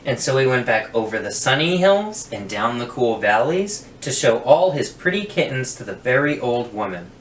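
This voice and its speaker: Male picture-book reader, expressive storyteller for children, roomy sound a little off the mic. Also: medium pitch, moderate pace, few pauses. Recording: roomy, distant mic, faint noise.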